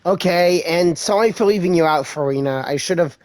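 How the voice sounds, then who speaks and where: A woman's voice: fairly low pitch, brisk, darkish tone, no room sound. A woman streamer giving casual reaction commentary over gameplay, with informal asides at the game. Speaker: gaming streamer.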